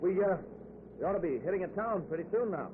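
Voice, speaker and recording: An actor playing a nervous, shifty motorist in an old-time radio thriller, hesitant and anxiously placating. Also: male voice, sits high, fast, natural voice. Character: nervous, shifty male motorist trying to appease. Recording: tinny, very noisy old radio recording.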